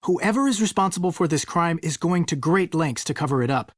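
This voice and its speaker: A woman's voice: on the low side, lively intonation, brisk, no room sound, studio quality. A woman playing a cautious, friendly young female character in a video game. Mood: cautioning, serious.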